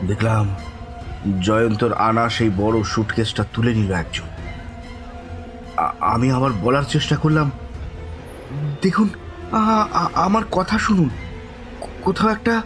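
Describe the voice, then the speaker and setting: An actor playing a bewildered, frightened man telling a village ghost story in the first person, with a halting, suspenseful, dramatic delivery. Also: mid-range voice, animated, music in the background, natural voice. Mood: desperate, pleading. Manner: halting, breathy repetitions, suspenseful, pleading in dialogue.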